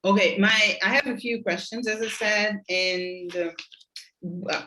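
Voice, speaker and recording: A woman's voice: medium pitch, fairly even intonation, a little slow, background noise. A middle-aged woman from Brazil with a Brazilian Portuguese accent, asking interview questions in English and often searching for words. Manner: warm, searching for words, restarts.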